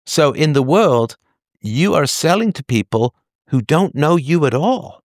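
A man's voice: a little high, expressive, measured pace, dry recording, studio quality. A man in the role of an advice host, talking conversationally and explaining emphatically with analogies, often checking that the listener follows. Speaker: call-in show host giving advice.

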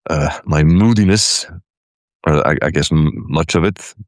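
Very low-voiced hesitant man from Iceland. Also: measured pace, no echo, clean studio sound. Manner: hesitant, repeats words and restarts often. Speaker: caller, guest. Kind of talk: casual personal conversation.

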